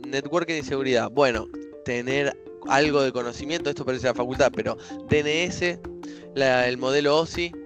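A man co-hosting a casual tech talk, relaxed, with many fillers and restarts. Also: mid-range voice, normal speed, over music. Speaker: co-host.

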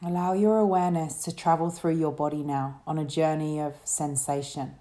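A woman leading a guided relaxation meditation as a yoga teacher, speaking in a soothing, gentle way. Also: low voice, fairly even intonation. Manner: soothing, gentle, unhurried instruction.

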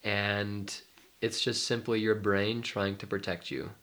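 Young man, congested nasal voice, lowish pitch, casual podcast chat. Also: little pitch movement, unhurried, fairly bright tone. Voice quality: nasal, stuffy. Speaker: podcast co-host, mindfulness life coach.

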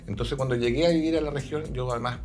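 A man, a guest on a talk show, speaking in a thoughtful, measured way with drawn-out pauses. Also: mid-range voice, over music. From Chile. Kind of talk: radio talk show conversation.

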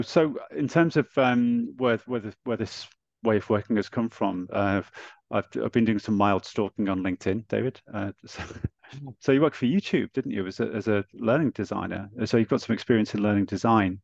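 A man's voice: fairly low pitch, fairly quick, gravelly, a little mellow, no room sound. A male podcast host who asks friendly questions and stammers over his words. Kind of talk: casual interview. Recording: dull, dry lo-fi sound.

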